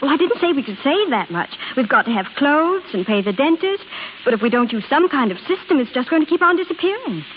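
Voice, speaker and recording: Earnest, easily hurt young wife, high voice, old-time radio drama with background hiss. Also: female voice, very animated, fairly quick. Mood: earnest, wounded. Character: earnest young wife, anxious, budget-minded, quick to hurt. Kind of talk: 1930s radio acting. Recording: hissy, phone-like old radio recording.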